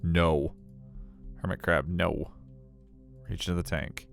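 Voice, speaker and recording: A man's voice: low-pitched, steady tone, slow pace, gravelly, clean tone, faint music behind. A man, casually commenting on and narrating a game in an informal, rambling way. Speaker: streamer reading a visual novel.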